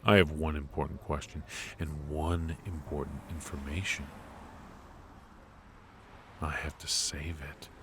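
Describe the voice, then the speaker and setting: A man playing a ruthless, teasing mafia boss in an intimate noir ASMR audio drama, speaking with smug, probing calm and musing pauses. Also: extremely low pitch, noisy background. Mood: coolly bargaining.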